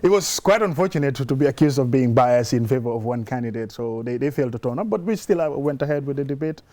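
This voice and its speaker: A man with a Gambian accent talking through sports matters in an explanatory, measured, conversational way, as a journalist on a talk show. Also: mid-range voice, animated, dark tone, no echo.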